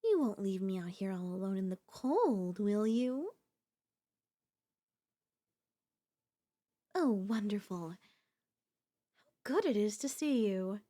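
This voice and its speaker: A woman's voice: mid-range voice, sing-song, unhurried, mellow. A woman playing a doting, possessive girlfriend in an ASMR audio roleplay, speaking in flowery, coaxing endearments with a teasing elegance. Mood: adoring. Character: doting, possessive yandere girlfriend, sweetly insistent and theatrical.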